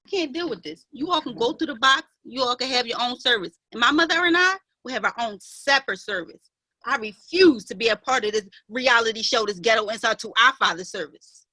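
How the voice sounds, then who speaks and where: Casting: woman playing wronged, proper sister, bright forceful high voice, emphatic and pleading, dry close recording. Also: lively intonation, a little fast, no room sound. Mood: fed up. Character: aggrieved, indignant half-sister, sheltered and proper. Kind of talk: dramatic play reading.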